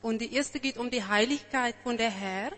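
A woman's voice: on the high side, normal speed, fairly bright tone, long reverb tail. A woman from South Africa whose first language is Afrikaans, speaking German with an Afrikaans accent and searching for words as she introduces a song at church. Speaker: church singer.

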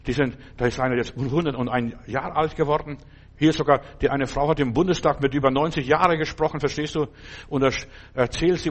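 An older man preaching informally in German, which is not his first language, with a chatty manner, frequent "verstehst du?" and halting restarts. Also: mid-range voice. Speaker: street evangelist preacher. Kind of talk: informal sermon.

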